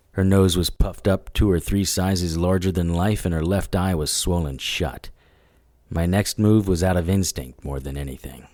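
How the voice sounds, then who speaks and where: A man's voice: deep voice, mellow, no echo, clean studio sound, close to an everyday voice. An actor playing a world-weary, sardonic demon hunter who narrates his story in gritty, matter-of-fact noir style. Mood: grim. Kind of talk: first-person noir audio drama narration.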